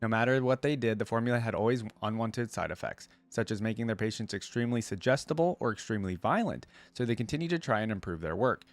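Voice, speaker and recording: A man hosting a reaction video, talking casually as he reads aloud and thinks out loud, often checking himself with tag questions. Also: lowish voice, animated, fast talker, hardly any pauses, dry recording. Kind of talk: casual reaction commentary mixed with reading aloud.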